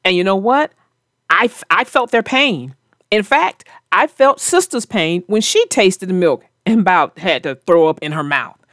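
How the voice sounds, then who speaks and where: A woman's voice: medium pitch, animated, moderate pace, a bit bright, no echo, studio quality. A woman hosting a children's read-aloud, narrating a storybook and chatting casually about it.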